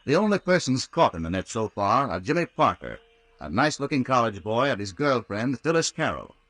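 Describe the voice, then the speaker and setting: A man's voice: medium pitch, big pitch swings, fairly quick, fairly bright tone, no room sound. A man narrating a horror serial as a dramatic old-time radio announcer.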